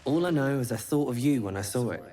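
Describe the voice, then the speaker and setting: A man's voice: mid-range voice, expressive, fast, mellow, natural voice. Man as an earnest, wistful talking dog. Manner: simple, earnest. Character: friendly loyal male dog, wistful and earnest. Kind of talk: video game voice acting.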